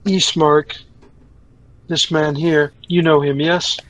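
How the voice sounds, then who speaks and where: A man playing a tabletop game in character, speaking in a slow, formal, slightly archaic way. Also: on the high side, little pitch movement, no echo, low-quality recording. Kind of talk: in-character roleplay, formal speech.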